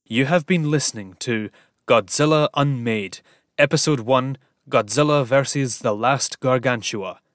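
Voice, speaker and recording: A man's voice: medium pitch, dry recording, studio quality. A man reading scripted credits as an announcer.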